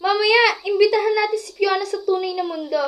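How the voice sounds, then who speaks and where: A woman's voice: extremely high pitch, brisk, a bit bright. A woman playing a giddy, mischievous teenage schoolgirl in an amateur radio drama. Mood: excited, scheming.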